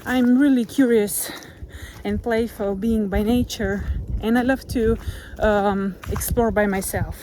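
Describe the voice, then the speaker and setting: Slow, mellow-voiced woman with a Slovenian accent. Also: mid-range voice, fairly even intonation, slight background noise, poor audio. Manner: gentle, unhurried, searching for words. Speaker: vlogger. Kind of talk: reflective motivational monologue to camera. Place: outdoors on a nature walk. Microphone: camera held while walking.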